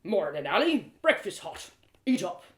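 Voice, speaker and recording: A woman voicing a gruff, kindly male innkeeper with folksy, rustic phrasing in a storybook reading. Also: fairly low pitch, very animated, measured pace, roomy sound.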